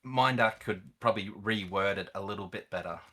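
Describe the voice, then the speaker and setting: A man with a low voice and a bright, forward edge speaks as a seasoned gamer. He explains things in a casual, conversational way as a guest on a chat. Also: fairly quick. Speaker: guest, experienced gamer and event organiser.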